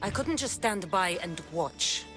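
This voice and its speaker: A woman's voice: fairly low pitch, lively intonation, a little slow. A defiant Spartan mercenary speaks firmly and dryly, in video game style. Her wry edge sits over light background music.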